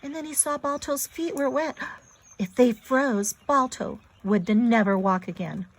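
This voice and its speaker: High-voiced woman reading to children. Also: unhurried, no room sound. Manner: dramatic, emphatic delivery addressed to young children. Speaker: storyteller reading a children's book aloud. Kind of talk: expressive read-aloud for children.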